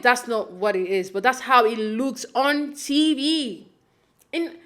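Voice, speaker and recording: A young woman from Nigeria talks through a reality show recap in a loose, chatty way. She leans on fillers, restarts her sentences, and slips into little acted-out quotes. Her voice sits at an ordinary middle pitch. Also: fairly bright tone. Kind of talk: casual recap commentary.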